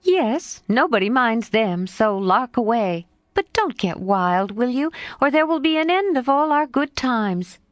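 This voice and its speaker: A frank, spirited young girl, put on by a woman narrator, scolds her friend in a bright, eager voice that swoops upward. The pitch moves a lot, and the sound is close and dry with no room around it. It suits a classic storybook read aloud. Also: big pitch swings, measured pace, few pauses, no room sound. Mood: pleading.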